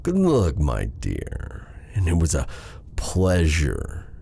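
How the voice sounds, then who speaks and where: An exiled dark sorcerer in a fantasy ASMR roleplay, with a gravelly, mellow voice. He is a smooth-talking villain, theatrical and teasing, and his delivery swings expressively between menace and flirtation. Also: wildly swooping pitch, full character voice. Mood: teasing, menacing. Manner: theatrical, silky, teasing, deliberate delivery with low chuckles.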